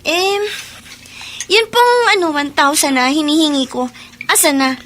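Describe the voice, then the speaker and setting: Demanding Filipino teen daughter, whiny radio drama role, very bright high voice. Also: female voice, expressive, measured pace, slight background noise. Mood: wheedling. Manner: whiny, petulant. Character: sulky, demanding fifteen-year-old schoolgirl daughter.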